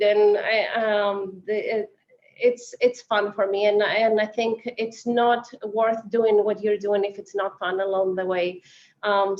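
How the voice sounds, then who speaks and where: A woman speaking on a panel over a video call, halting with many ums. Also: on the high side, highs cut off. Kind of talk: online talk.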